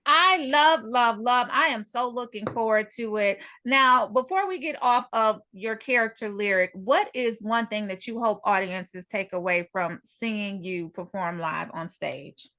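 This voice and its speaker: A woman's voice: fairly high pitch, unhurried, few pauses, sharp tone. A woman interviewing an actress, encouraging and affirming as she listens. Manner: encouraging, frequent affirming murmurs. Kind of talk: interview, casual chat.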